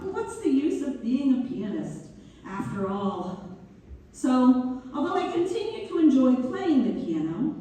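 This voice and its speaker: A woman playing Mabel, a genteel pianist turned writer, reminiscing in measured theatrical narration. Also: a little high, dark tone. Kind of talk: theatrical first-person narration, read-through. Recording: distant mic in very echoey hall, faint noise.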